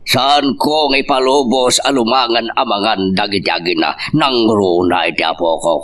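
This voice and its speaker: A man playing a hot-tempered older father and protective grandfather in an audio drama, gruff and indignant. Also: on the low side, normal speed, fairly bright tone, distant mic, lightly acted. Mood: stubborn, angry. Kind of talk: amateur radio-style drama acting.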